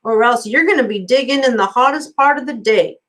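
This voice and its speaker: A woman voicing a gruff, taunting male camp boss in a storybook, scornful and bossy. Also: on the high side, a little fast, fairly bright tone, pitched above the performer's own voice, lightly acted.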